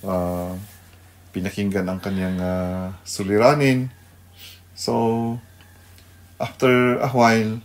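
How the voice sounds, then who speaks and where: Slow, low-voiced Filipino man, halting delivery. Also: darkish tone. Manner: halting, searching for words, checking with "no?". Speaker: radio devotional host. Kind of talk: unscripted devotional talk.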